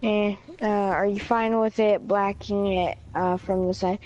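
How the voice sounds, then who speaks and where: A woman's voice: mid-range voice, steady tone, a little slow, crowd noise. Rambling woman on dull voice chat. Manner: rambling, full of "like" and "yeah". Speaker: friend in voice chat. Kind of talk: casual gaming chat. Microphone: online voice chat party.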